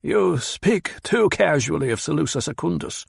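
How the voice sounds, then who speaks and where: A man voicing a cold, sardonic old advisor who speaks in clipped, cutting sentences, pitched higher than the narration. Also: animated, normal speed, gravelly, breathy edge, no echo, studio quality, exaggerated voice. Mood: scornful. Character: cold, scornful old male mentat advisor.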